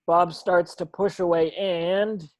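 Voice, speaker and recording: A man's voice: high voice, little pitch movement, slow pace. A man narrating stage directions from a script at a table read, sometimes tripping over words.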